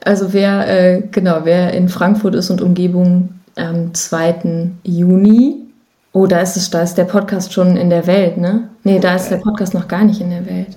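A woman's voice: lowish voice, little pitch movement, moderate pace, mellow. A woman talking casually and informally as a musician guest in an interview, with an enthusiastic manner. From Germany. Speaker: podcast guest, musician and singer.

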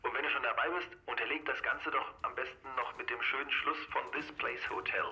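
A man with a piercingly bright voice of medium pitch chats conversationally. The delivery is lightly scripted, like a planned call between podcast colleagues. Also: steady tone, a little fast, poor audio. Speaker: podcast contributor and audio drama writer.

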